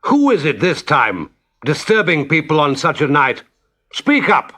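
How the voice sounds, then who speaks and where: A man's voice: a little high, big pitch swings, measured pace, bright, forceful tone, voice pushed up, exaggerated voice. Man as a blustery, kindly storybook badger. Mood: grumpy. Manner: blustery, hospitable, exclaiming. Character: kindly sleepy older male badger, gruff then welcoming. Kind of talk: children's storybook character voice.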